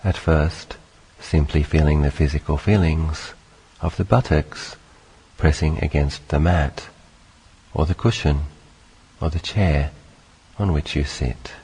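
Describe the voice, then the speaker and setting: A man leading a guided meditation, speaking calmly in short, unhurried phrases with long pauses between them. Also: a bass voice, gravelly, slightly rough, poor audio. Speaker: meditation teacher.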